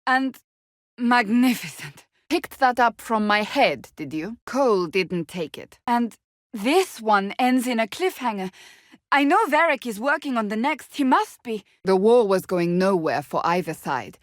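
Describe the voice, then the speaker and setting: A woman's voice: fairly high pitch, animated, unhurried, no room sound, clean studio sound. An actress voicing a stern, proud warrior woman in a video game, with a blunt, formal, clipped delivery that is earnest and easily exasperated. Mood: embarrassed, earnest. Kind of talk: video game character dialogue.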